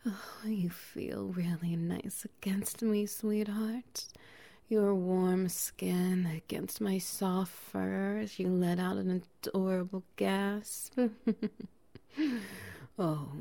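A woman voicing a doting, dominant female bear-woman, whispering softly and teasing with breathy laughs in an intimate audio roleplay. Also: medium pitch.

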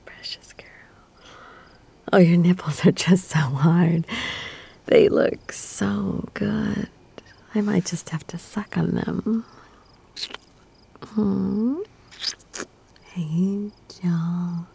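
Slow, whispering woman with a gravelly edge. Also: lowish voice, a little hiss or hum. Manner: soft breathy whispering with teasing murmurs. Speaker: audio roleplay performer. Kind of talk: whispered intimate audio roleplay.

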